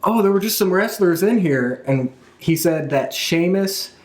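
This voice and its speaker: A man's voice: sits high, animated, unhurried. A man hosting a podcast, chatting casually and with a fan's enthusiasm as he recaps events in a roomy, echoing space. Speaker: podcast host. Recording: roomy, echoing room sound.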